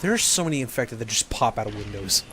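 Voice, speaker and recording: A man's voice: lowish voice, lively intonation, fast, fairly bright tone, breathy edge, soft background music. A man in casual joking chat as a gaming co-commentator, riffing with an incredulous manner. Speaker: co-commentator playing a video game.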